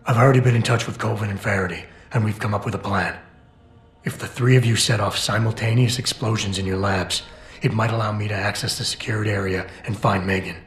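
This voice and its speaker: A man's voice: lowish voice, fairly even intonation, a little fast, close to an everyday voice. An actor playing a stoic, determined male cyborg operative in a video game, with a clipped, controlled delivery. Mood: reassuring, determined. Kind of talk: video game cutscene dialogue. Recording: roomy game cutscene with music. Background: background music.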